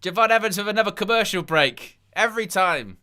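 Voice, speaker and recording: A man's voice: high-pitched, animated, brisk, sharp tone. A man hosting a live wrestling watchalong, rambling through incredulous asides and reading chat comments aloud between plugs for likes. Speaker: wrestling livestream host.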